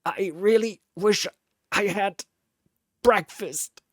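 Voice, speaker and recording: A man voicing a scrappy young female soldier who is always hungry, shouting defiant quips. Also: high voice, slow, no echo, clean studio sound, voice pushed up, heavily acted. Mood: wheezing.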